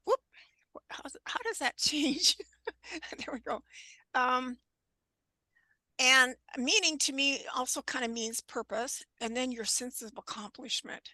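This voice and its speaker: A woman in her seventies giving an informal presentation over a video call, unhurried and halting, with a scratchy voice and frequent throat clearing. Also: on the high side, bright, forceful tone, no room sound. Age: 70s. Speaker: guest presenter on wellness.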